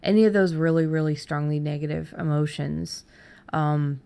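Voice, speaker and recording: Woman, low pitch, gravelly fry, podcast host, conversational, halting, word repetitions. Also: steady tone, dark tone. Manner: halting, repeats words while forming thoughts.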